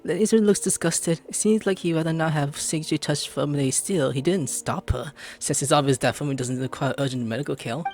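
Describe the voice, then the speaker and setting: A woman giving excitable, theatrical gameplay commentary, swinging between muttering and shrieking. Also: deep voice, brisk, few pauses. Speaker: gaming video host. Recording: game music clearly behind the voice.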